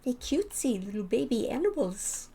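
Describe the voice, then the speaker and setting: A woman streaming a game, chatting casually with viewers and rambling as she thinks out loud. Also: a little high, sing-song, normal speed, husky. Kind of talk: casual gameplay chat with viewers.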